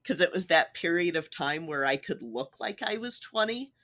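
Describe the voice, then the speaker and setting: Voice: medium pitch, lively intonation, moderate pace, fairly bright tone. A podcast co-host in their thirties, chatting casually and agreeing with emphasis. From Utah, United States. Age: 30s.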